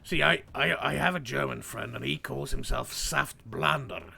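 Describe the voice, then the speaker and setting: A Norwegian man chatting casually on a gaming stream with dry, deadpan remarks. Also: mid-range voice, moderate pace, creaky. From Norway. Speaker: streamer playing a dwarf persona.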